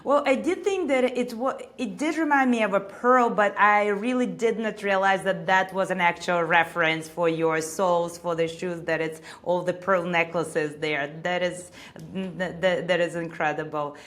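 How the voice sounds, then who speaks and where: Woman interviewer with a lowish voice. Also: steady tone, moderate pace, few pauses. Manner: warm affirmations, stumbling restarts. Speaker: interviewer, host. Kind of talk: casual interview questions.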